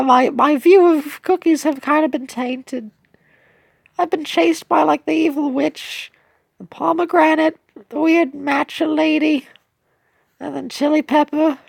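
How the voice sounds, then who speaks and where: A little cartoon cookie child with an extremely high, sweet voice. The delivery is slow, gushing and earnest, as in a storybook game tale, and sounds close and dry. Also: female voice, vocal fry, a little mellow, dry recording. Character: sweet excitable young cookie child, poor and lonely.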